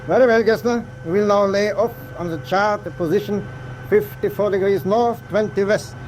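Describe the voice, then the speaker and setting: An actor playing a stern, proud and formal German submarine captain in a wartime radio drama. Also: male voice, high-pitched, normal speed, a little mellow, natural voice. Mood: brisk, resolute. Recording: clear vessel noise behind voice.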